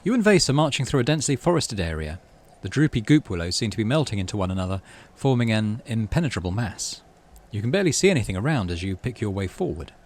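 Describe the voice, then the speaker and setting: Low-voiced man with lively, swinging intonation. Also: fast talker, hardly any pauses. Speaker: gaming channel host. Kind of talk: reading game text aloud with offhand commentary.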